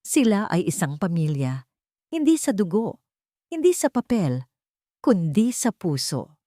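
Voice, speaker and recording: A woman narrating a scripted, sentimental audio drama in measured, dramatic short phrases. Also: mid-range voice, big pitch swings, dark tone, no room sound, clean studio sound. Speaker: storyteller, narrator.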